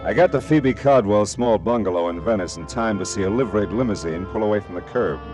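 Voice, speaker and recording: An actor playing a wry, hard-boiled private detective with an American accent, in clipped, sardonic 1940s radio noir style. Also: male voice, lowish voice, expressive, fast talker, over loud music, natural voice. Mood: brooding, wry. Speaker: lead actor and narrator.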